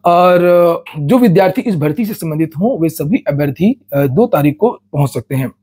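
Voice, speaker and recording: A man's voice: a little high, expressive, normal speed, warm tone, husky. A man presents recruitment news, reading out notices and explaining them in a matter-of-fact way, often repeating a word as he goes.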